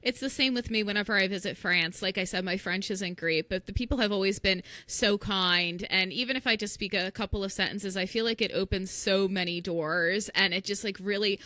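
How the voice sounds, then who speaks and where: A woman co-hosting a travel podcast, enthusiastic and quick to agree and laugh. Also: medium pitch, pushed and bright, dry recording. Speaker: podcast co-host. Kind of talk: casual interview chat.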